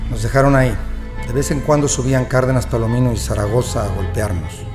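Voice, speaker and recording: A man's voice: mid-range voice, steady tone, brisk, lightly acted. An actor in an audio drama playing a wronged male prisoner who recounts his ordeal as sober testimony. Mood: pained. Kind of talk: first-person audio drama narration. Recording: music bed behind the voice.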